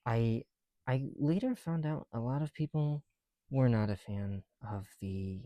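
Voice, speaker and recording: A soft-spoken man chatting casually in an ASMR style, often dropping into whispers, with a creaky voice and a rambling, halting delivery, close to the mic. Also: mid-range voice, no room sound. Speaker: ASMR video creator. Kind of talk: casual ASMR chat while building bricks.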